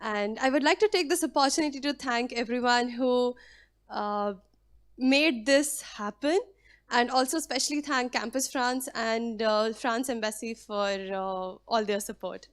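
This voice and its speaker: A young woman from India introduces herself. Her voice sits fairly high, and she speaks politely and formally, pausing on fillers. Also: clear, resonant tone. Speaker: incoming student. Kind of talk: self-introduction at a ceremony.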